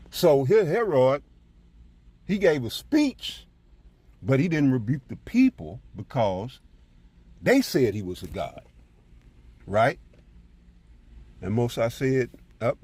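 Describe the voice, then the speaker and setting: A man with a husky voice teaching scripture as a preacher, in an emphatic, colloquial style full of rhetorical check-ins. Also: medium pitch, a little mellow, dry recording. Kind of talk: fiery informal Bible teaching with commentary on scripture readings.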